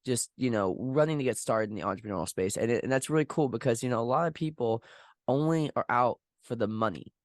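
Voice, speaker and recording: Halting male interviewer, dry close sound. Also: mid-range voice, no room sound. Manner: halting, rambling questions with stumbles and repeats. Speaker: podcast interviewer, host. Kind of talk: casual interview chat.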